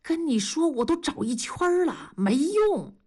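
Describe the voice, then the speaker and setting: A woman's voice: sits high, sing-song, measured pace, dark tone, voice pushed up. A woman voicing an aggrieved male factory worker who gripes sarcastically in colloquial speech, in an audiobook. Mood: irritated.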